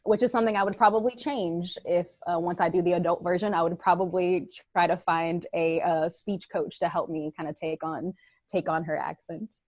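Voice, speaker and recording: A woman actor and playwright chatting as a guest on a video call, laughing often and filling pauses with ums. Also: fairly low pitch, fairly even intonation, a little mellow, no room sound. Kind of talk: casual video-call conversation.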